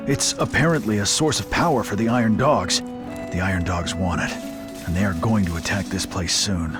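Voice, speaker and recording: A stoic veteran fighter voiced by a man with a very low voice, the hero of a video game. He talks in a calm, resolute, terse way. The music behind him is loud. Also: moderate pace, close to an everyday voice. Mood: grave. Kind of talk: English video game cutscene acting.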